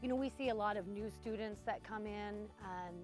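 An easygoing woman with a mid-pitched voice answers interview questions about her horse ranch and fills her pauses with "uh". Faint music plays underneath. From Montana. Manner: easygoing, many fillers. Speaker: ranch owner, riding instructor.

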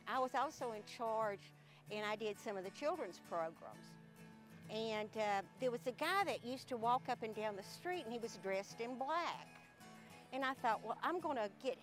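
A woman librarian with a Southern accent telling funny stories in a relaxed interview, laughing often as she goes. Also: a little high, poor audio. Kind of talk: casual storytelling in an interview.

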